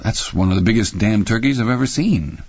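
A man as a guilt-ridden, husky henpecked husband. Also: lowish voice, brisk, darkish tone, voice pushed up. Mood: meek, guarded. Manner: muted, hesitant murmuring. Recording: roomy, slightly dull audio.

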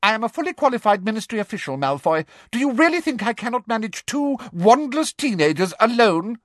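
A performer voicing a domineering, greedy middle-aged female official in an audiobook, sharp and demanding, with a falsely sweet streak. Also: mid-range voice, big pitch swings, measured pace, dry recording, clean studio sound, pitched above the performer's own voice. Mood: sharp, bossy.

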